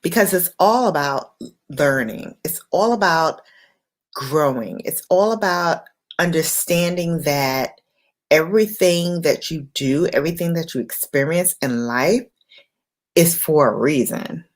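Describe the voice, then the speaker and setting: A woman hosting an impromptu late-night livestream, speaking in an unhurried, reflective way in short, paused phrases. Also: low voice, expressive. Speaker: livestream host.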